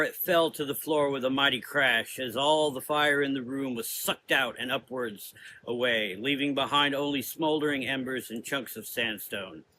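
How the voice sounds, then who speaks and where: A man's voice: mid-range voice, pushed and bright, a little hiss or hum, low-quality recording. A man giving dramatic scripted narration of a gothic audio story.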